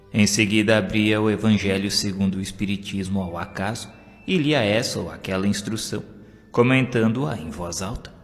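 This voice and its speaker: A Brazilian man narrates a scripted story in a fairly deep voice. His words carry a long hall reverb, and faint music plays behind him. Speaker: audiobook narrator. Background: background music.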